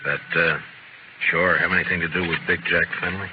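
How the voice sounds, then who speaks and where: An actor playing a stoic, incorruptible frontier marshal, terse and dry, in 1950s radio western acting and narration. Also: male voice, low voice, flat delivery, brisk, slight background noise, natural voice. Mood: dry, firm. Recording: muffled old radio broadcast.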